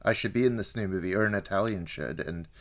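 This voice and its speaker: Deep-voiced man, casual and tentative. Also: quick pace. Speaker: podcast co-host. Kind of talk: casual movie discussion.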